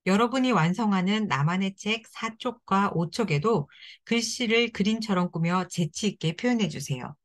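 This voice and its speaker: Gentle woman guiding kids through a craft. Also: medium pitch, normal speed. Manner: gentle, encouraging, speaks directly to kids. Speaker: children's activity instructor. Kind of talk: scripted instructional narration for children.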